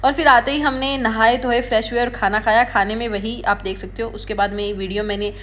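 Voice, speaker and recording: A young woman in her twenties narrating her daily study and gym routine in a relaxed, chatty vlog voice-over, slipping English words into her talk. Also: on the high side, fairly quick. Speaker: vlogger preparing for government exams. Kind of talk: day-in-the-life vlog voice-over. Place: recorded at home after the day.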